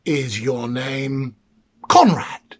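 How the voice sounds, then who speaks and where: A man's voice: mid-range voice, animated, extremely slow, slightly rough, voice pushed down, full character voice. A man voicing an anxious young queen in a fairy tale, speaking in a hesitant, questioning manner. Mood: coy questioning.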